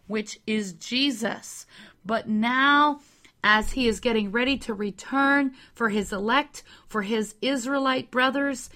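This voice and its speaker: A woman giving a slow, measured religious teaching, carefully spelling out the meanings of words. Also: fairly high pitch, fairly bright tone. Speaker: Bible teacher.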